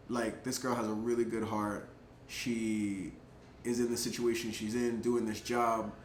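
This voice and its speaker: A man telling a personal story casually to camera, chatty and animated, with lots of filler words. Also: medium pitch. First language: English. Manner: chatty, lots of "like" and "um" fillers, laughs between thoughts; animated, gets louder at exciting moments. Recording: roomy echo, faint background noise.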